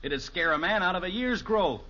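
A grumbling American suburban dad in a vintage radio sitcom. His fairly high, forceful voice swings widely with wry exasperation, heard through a dull old recording. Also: lively intonation, fairly quick, noisy background. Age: middle-aged. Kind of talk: 1950s radio comedy acting.

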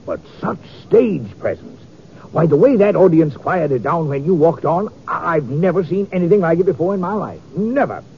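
An actor playing a courtly, admiring theatrical impresario, formal and emphatic, in 1950s radio drama style. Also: male voice, a little high, lively intonation, normal speed, vocal fry. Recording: dull, faintly noisy old radio recording.

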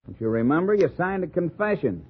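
A man's voice: fairly high pitch, big pitch swings, brisk, breathy edge. A man playing a hard, sarcastic police sergeant bullying a suspect in a 1950s radio drama. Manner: brusque, needling, sarcastic. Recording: muffled, faintly noisy old radio recording.

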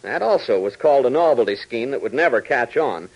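An American man announces and narrates a 1940s radio program from a script. His mid-pitched voice swings animatedly, and the vintage recording is dull and narrow. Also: lively intonation, a little fast.

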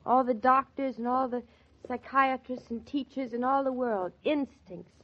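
An actress playing a whimsical, earnest young farm wife who gushes with wonder, in 1940s radio drama style. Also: female voice, high-pitched, fairly even intonation, normal speed. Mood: earnest, delighted. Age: young adult. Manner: gushing, wonder-struck. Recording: muffled 1940s radio recording.

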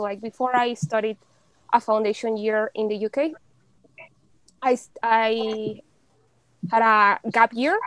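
A young woman from Mexico talks in a chatty, animated way, full of fillers and quick laughs. Her voice sits a little high. The recording is dry and close. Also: dry recording, rough recording. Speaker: podcast guest. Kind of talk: casual conversation.

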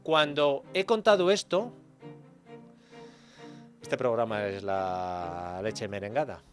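A man's voice: on the low side, expressive, takes it slowly, faint music behind. A man from hosting live radio in a playful, theatrical announcing manner. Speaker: radio host.

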